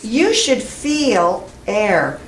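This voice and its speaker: American lady teaching pronunciation, super slow and deliberate, mic kinda far away in a classroom, bit of noise. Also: medium pitch, very animated. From United States. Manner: deliberate, emphatic, enunciating sounds and words. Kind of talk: instructional pronunciation lesson to camera. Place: small classroom with whiteboard, indoors. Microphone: camera mic at a distance. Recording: roomy, distant camera mic, faint noise.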